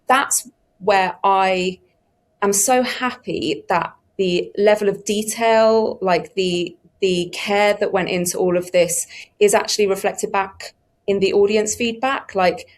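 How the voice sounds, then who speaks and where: A woman's voice: mid-range voice, fairly even intonation, slow pace. A woman talking effusively and emphatically about creative work in a conversational panel interview. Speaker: audiobook production team member on a panel. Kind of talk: enthusiastic panel interview talk.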